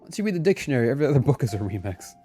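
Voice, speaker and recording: A man's voice: mid-range voice, big pitch swings, rapid-fire, dark tone. A man chatting casually and playfully with viewers as he streams a game, often exclaiming, with game music behind him. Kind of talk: casual live gameplay chat with viewers.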